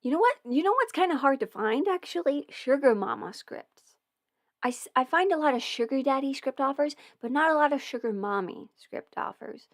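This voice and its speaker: A woman's voice: sits high, sing-song, normal speed, no echo, clean studio sound. A young woman hosting a casual livestream Q&A as an audio performer, chatty and meandering, with plenty of fillers and restarts. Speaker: audio performer and channel host.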